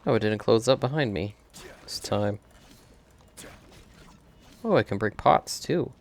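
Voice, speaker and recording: A man's voice: on the low side, measured pace. A man doing casual gameplay commentary, thinking aloud and chuckling often as he streams a video game.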